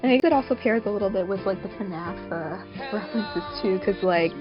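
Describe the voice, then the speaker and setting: A woman's voice: medium pitch, fairly quick, dull audio, over music. A woman in casual podcast chat as a co-host, peppering her sentences with "like". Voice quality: frequent "like" as a filler. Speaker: podcast co-host, fandub producer.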